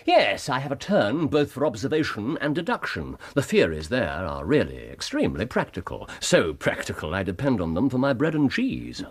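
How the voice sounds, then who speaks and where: An actor playing a brilliant, self-assured Victorian English detective in a radio drama, precise and teasingly amused in manner. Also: male voice, medium pitch, big pitch swings, normal speed, hardly any pauses, husky.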